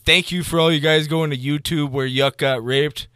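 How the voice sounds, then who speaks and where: A man's voice: on the high side, steady tone, normal speed, sharp tone, smooth, no echo, clean studio sound. A man in his thirties bantering on a podcast in a crude, teasing way, swearing often. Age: 30s. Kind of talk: casual bantering chat.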